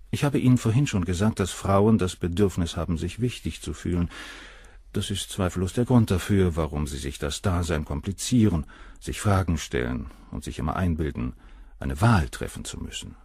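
An actor playing a cynical doctor under questioning in a radio play, dismissive and clinical in manner. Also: male voice, low voice, animated, moderate pace, darkish tone, slightly rough, no room sound, studio quality. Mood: cold. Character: cold cynical male doctor, middle-aged suspect.

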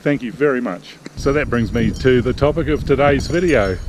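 A middle-aged man talks straight into a camera held at arm's length, in a relaxed, rambling vlog manner. His pitch is ordinary for a man. Faint city hum sits behind him in the open air. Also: rough recording. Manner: relaxed, chatty, halting with fillers. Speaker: sailing vlog host. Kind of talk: casual vlog talk to camera. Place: outdoors in a paved city square.

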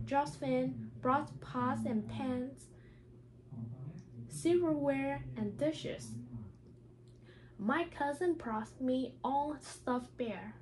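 A woman who speaks English as a second language, talking carefully and haltingly as a teacher doing a read-aloud lesson. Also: sits high, background noise. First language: not English. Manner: careful, halting delivery with stumbles over words. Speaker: teacher reading a picture book aloud.

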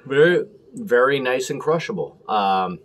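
An American man hosting a casual beer review to camera, talking in halting, clipped short phrases. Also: mid-range voice, lively intonation. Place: small room at home, indoors.

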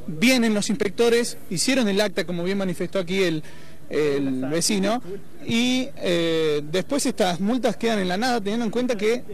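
A man's voice: high voice, gravelly. A young man with an Argentine accent from Córdoba, reporting live on a street as a TV reporter with a handheld microphone. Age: young adult. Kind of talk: live news reporting and interviewing. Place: outdoors on a city street.

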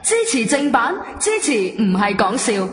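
A woman's voice: on the high side, very animated, normal speed. A woman with a Cantonese accent reading a scripted promotional announcement, recorded with reverb. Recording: echoey reverb, music behind.

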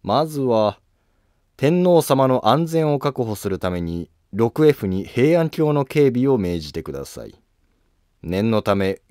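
A man playing a coolly polite, scheming Japanese court noble with a calm, formal, deliberate delivery in a history drama. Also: lowish voice, no room sound, clean studio sound.

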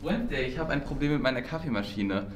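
A man's voice: mid-range voice, lively intonation, fast talker, faint music behind, natural voice. A young man playing a polite, bemused coffee-shop worker in an improvised fan film, mild and hesitant. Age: young adult. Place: furnished room at home. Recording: echoey room, distant from mic.

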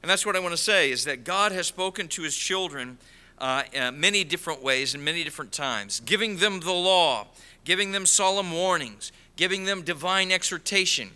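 A middle-aged man preaching a sermon from a church pulpit, animated and gesturing, with frequent "uh" fillers. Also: high-pitched, moderate pace, clear, resonant tone. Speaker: pastor. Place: large church sanctuary. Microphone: pulpit microphone.

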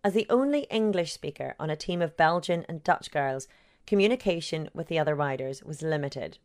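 A woman journalist reading her own long-form article aloud in a measured, sober way. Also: low-pitched, lively intonation. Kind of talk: scripted narration.

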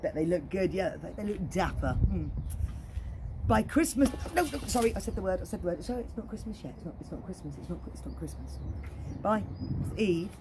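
Expressive woman storyteller with a lively, swooping voice. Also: lowish voice, fairly quick, noisy background. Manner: playful, expressive. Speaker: children's entertainer. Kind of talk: animated children's storytelling with playful asides to a puppet. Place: outdoor garden.

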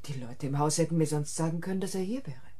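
An actress in a German radio play, playing a traumatized, stubborn woman with a husky voice. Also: low-pitched, fast, warm tone. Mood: flat, bitter. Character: traumatized, stubborn woman who killed her tormentor.

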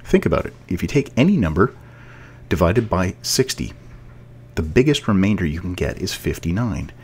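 A man's voice: sits low, very animated, normal speed, darkish tone, slightly rough. A man giving a casual, step-by-step tutorial narration as a programming instructor, explaining as he goes.

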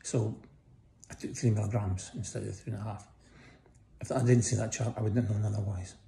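A middle-aged man with a croaky voice, lying in a hospital bed and casually giving a personal update into a phone held close above him, tired and pausing often. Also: fairly low pitch, fairly even intonation, mellow, a little hiss or hum. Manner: lying in bed, tired, talking slowly with many pauses. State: croaky voice after breathing tubes. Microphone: phone held close above face.

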